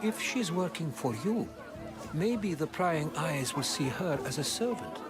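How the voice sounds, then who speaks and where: A knowing, animated Greek advisor. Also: male voice, a little high, measured pace, music in the background, crowd noise. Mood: advising. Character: worldly, patient male advisor in ancient Athens, wry. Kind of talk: video game voice acting.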